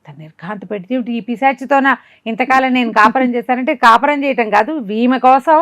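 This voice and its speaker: A woman's voice: a little high, a little fast, fairly bright tone, no echo, clean studio sound. A woman telling a folk tale informally, conversationally asking rhetorical questions as she narrates. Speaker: storyteller.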